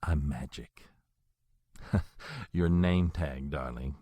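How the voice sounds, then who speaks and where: An actor playing an old, courtly and menacing male vampire in an audio drama, speaking with a suave, husky voice. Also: extremely low pitch, very slow, dry recording, heavily acted. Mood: silky. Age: older. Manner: suave, flattering, then threatening.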